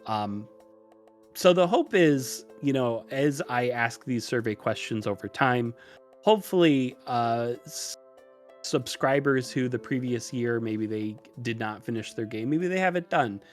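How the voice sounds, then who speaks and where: A man's voice: medium pitch, lively intonation, a little slow. A man talking casually as a podcast guest, explaining things in a loose, rambling way with hesitations. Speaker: podcast guest who runs a tutorial channel. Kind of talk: casual interview conversation. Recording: music mixed under the voice. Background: music.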